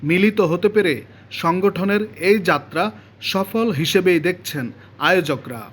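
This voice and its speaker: A male news reporter reading a scripted report in a measured, formal way. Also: high voice, background noise.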